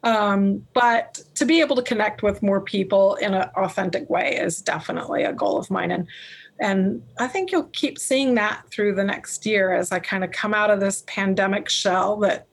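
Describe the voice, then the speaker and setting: A woman artist and color teacher being interviewed, talking loudly and passionately and laughing often. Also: mid-range voice, normal speed. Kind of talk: podcast interview chat.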